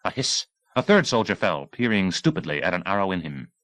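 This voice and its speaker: A male audiobook narrator with a low voice delivers dramatic action prose. His phrasing is intense and deliberate, falling in short bursts. The sound is dry, with very little room on it. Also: big pitch swings, fairly bright tone, dry recording.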